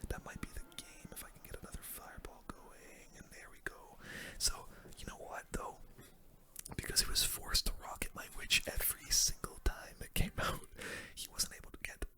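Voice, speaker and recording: A gaming host speaking in a soft, unvoiced whisper, giving casual, hushed play-by-play in an ASMR style. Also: moderate pace. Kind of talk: whispered ASMR game commentary.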